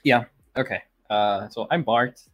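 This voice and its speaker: A man's voice: mid-range voice, a little slow, dry recording, lo-fi sound. A thirty-year-old man from Poland whose first language is Polish, chatting casually as a podcast guest, rambling with fillers and restarts. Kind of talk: casual interview chat.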